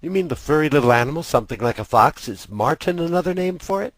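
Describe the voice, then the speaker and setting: Inquisitive little storybook girl, played by a male narrator, raised husky voice, full of wondering questions. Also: fairly high pitch, animated, fast talker, dry recording, pitched above the performer's own voice. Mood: curious. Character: curious young girl asking questions. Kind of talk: storybook character voice.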